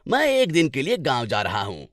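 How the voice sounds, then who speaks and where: A man's voice: mid-range voice, wildly swooping pitch, normal speed, a bit bright, no echo, studio quality. A man voicing a rich village master in a cartoon tale, kindly at heart but bossy, scolding and lecturing.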